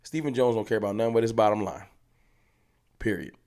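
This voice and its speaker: A man's voice: fairly low pitch, quick pace, a little mellow. A man hosting a fan channel, ranting passionately to camera in casual speech and hammering his points home with repetition. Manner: passionate, emphatic, repeats points. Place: room with hats on the wall behind him.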